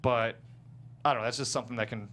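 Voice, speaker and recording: A man talking casually as a guest screenwriter, explaining his work in a halting, self-correcting way. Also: mid-range voice, fairly even intonation, fairly bright tone. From small town in the United States. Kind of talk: casual panel discussion of own script. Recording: clear background noise and hum.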